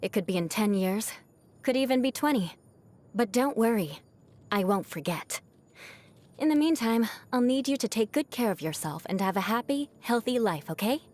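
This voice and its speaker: A woman's voice: a little high, lively intonation, moderate pace, darkish tone, natural voice. A woman voicing a proud young aristocratic knight in a video game, with a haughty, formal, teasing manner and a vengeful streak that hides a caring side. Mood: playful menace, then brisk, caring. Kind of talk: scripted video game character voice lines.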